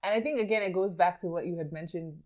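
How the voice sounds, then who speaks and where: A woman's voice: lowish voice, fast, a little mellow. A young adult woman co-hosting a casual podcast chat, chatty and giggly, with lots of fillers. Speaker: podcast co-host.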